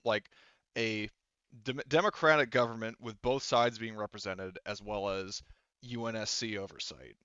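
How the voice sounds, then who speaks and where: A man running a tabletop game as game master, narrating off the cuff in a halting, conversational way. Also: lowish voice, fairly bright tone, clean tone, dry recording. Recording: slightly dull, close dry sound.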